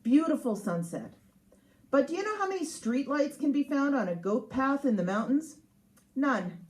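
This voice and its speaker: A woman's voice: a little high, a little mellow, low-quality recording. A woman reads her humorous memoir aloud as its author, in a sarcastic, emphatic, mock-exasperated manner. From Canada. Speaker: author reading from own book. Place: live reading at a showroom.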